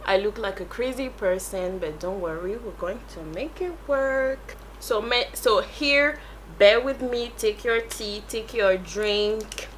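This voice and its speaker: A woman's voice: medium pitch, slow, a little hiss or hum. A French-accented woman, a native French speaker, chatting casually through a makeup tutorial and rambling as she searches for English words. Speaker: beauty YouTuber.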